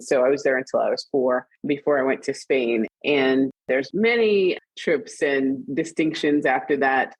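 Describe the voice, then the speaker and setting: A woman with a standard US accent, a guest in an interview, talking in an animated, chatty way and laughing often. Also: a bass voice, dry recording, low-quality recording. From Trinidad and Tobago and Costa Rica, raised internationally. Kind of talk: conversational interview.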